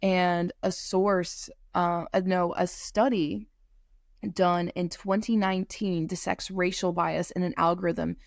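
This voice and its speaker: A young woman co-hosting a podcast in a casual conversational way, halting often with fillers and restarts. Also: lowish voice, flat delivery, creaky, dry recording, studio quality. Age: young adult. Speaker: podcast co-host.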